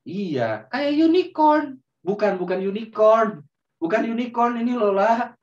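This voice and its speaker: A man telling a story to children in a gentle, drawn-out way, often turning to them as friends. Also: sits high, sing-song. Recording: roomy, distant, dull sound.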